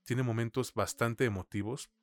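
A man's voice: mid-range voice, steady tone, moderate pace, no echo. A man with a Mexican accent giving a conversational film review as a podcast co-host, in informal, slangy language. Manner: informal, slangy, peppered with swearing.

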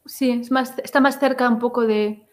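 Encouraging host, higher voice. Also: female voice, fairly even intonation, moderate pace. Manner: encouraging, frequent affirmations, asks follow-ups. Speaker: podcast host. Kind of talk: casual interview.

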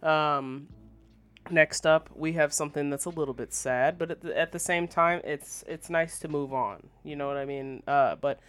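A man's voice: fairly high pitch, moderate pace, a bit bright, smooth. A man co-hosting a gaming news podcast, giving casual commentary in an informal, conversational way.

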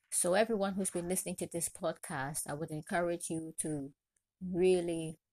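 A woman from Trinidad and Tobago hosts a solo podcast, sharing personal experience and encouragement with deliberate, repeated phrasing. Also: lowish voice, darkish tone, dry recording. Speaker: podcast host, chef and caterer. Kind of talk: solo podcast talk, motivational personal story.

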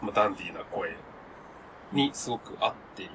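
A man in his thirties chatting casually as a podcast co-host, excitable and gushing, often trailing into half-finished sentences. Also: low-pitched, a little fast, background noise. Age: 30s. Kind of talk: casual chat among friends.

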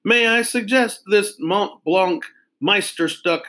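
A man voicing a fussy, pompous adult pen salesman who speaks slowly and precisely, like a sales pitch. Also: pushed and bright, exaggerated voice.

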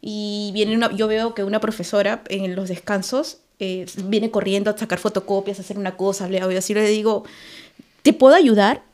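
A woman's voice: medium pitch, moderate pace. Chatty woman telling workplace stories. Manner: animated storyteller who acts out quoted colleagues, laughs often. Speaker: podcast guest, school worker. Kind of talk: casual conversational anecdotes.

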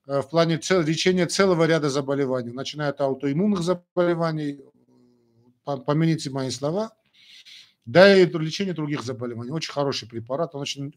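An older man from Armenia, a doctor answering viewers' questions on a live stream in a blunt, chatty way, with many fillers. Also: on the high side. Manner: blunt, talks in short emphatic phrases with many fillers.

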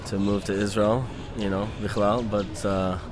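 A man from Venezuela hosting a casual outdoor conversation, pausing often and searching for the right words. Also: on the low side, little pitch movement, over music. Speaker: host. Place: outdoors in a city. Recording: noisy outdoor street recording.

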